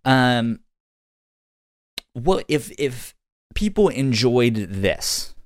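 A man co-hosting a podcast, chatting casually in a halting way and often repeating words as he goes. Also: medium pitch, very animated, dry recording. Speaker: podcast co-host. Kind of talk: casual podcast review chat.

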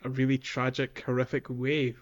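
A man's voice: mid-range voice, monotone, moderate pace. A man co-hosting a podcast in informal, sweary, casual conversation. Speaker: podcast co-host. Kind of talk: casual chat.

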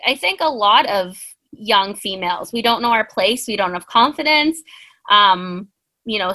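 A woman's voice: mid-range voice, sharp tone. A woman in her late twenties co-hosting a casual chat, giving earnest, rambling advice with many ums. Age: 20s. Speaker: podcast co-host.

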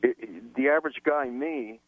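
A man's voice: mid-range voice, moderate pace, no room sound. A man from New Mexico calling a radio talk show, rambling and hesitant with many restarts. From New Mexico, USA. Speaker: caller. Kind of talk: call-in radio conversation. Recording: tinny phone line.

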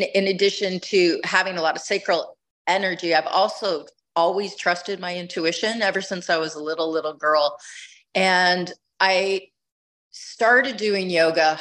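A woman's voice: lowish voice, fairly even intonation, a little slow, a bit bright. A middle-aged woman guest telling her story in a reflective, conversational way, often restarting phrases as she thinks. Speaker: podcast guest, retreat leader.

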